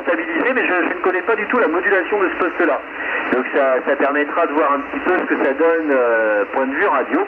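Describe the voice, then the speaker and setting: A man's voice: on the high side, fast talker. A man chatting casually and colloquially as a CB operator, his voice coming over a radio transmission. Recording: narrow, noisy CB radio reception. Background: radio static noise.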